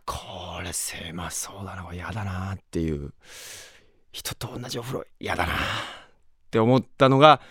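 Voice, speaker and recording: A man's voice: low-pitched, creaky, slightly rough, no echo, clean studio sound. A man who works as a voice actor, giving a rambling, reflective cast comment off the cuff.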